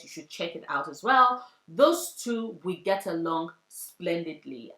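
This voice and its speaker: A woman's voice: lowish voice, a bit bright, reverberant, low-quality recording. A young adult woman talking earnestly about books she loves, often repeating phrases for emphasis. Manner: earnest, heartfelt, with poetic repetition. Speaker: book vlogger. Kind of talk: book recommendation vlog.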